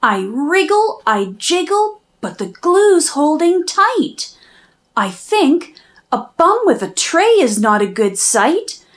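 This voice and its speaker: A woman reading a rhyming children's storybook aloud with expressive, dramatic emphasis. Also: sits high, slow pace. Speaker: children's book narrator, channel host.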